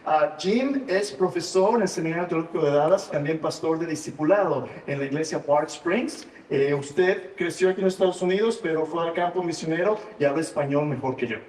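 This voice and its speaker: A man's voice: fairly high pitch, brisk, few pauses, a little mellow, a little hiss or hum. Man introducing a guest preacher. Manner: friendly and welcoming. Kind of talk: church announcements and introduction. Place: church sanctuary. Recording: echoey church hall from far off.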